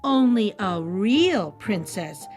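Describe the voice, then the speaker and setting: A woman voicing a scheming, excitable queen in a fairy tale. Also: mid-range voice, slow, faint music behind. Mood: plotting.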